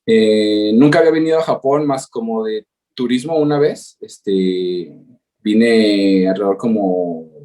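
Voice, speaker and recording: A man's voice: on the low side, warm tone. Hesitant Mexican man presenting. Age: 30s. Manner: hesitant, many fillers and restarts. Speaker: guest presenter. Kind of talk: webinar presentation. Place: home, working remotely in Japan. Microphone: video call.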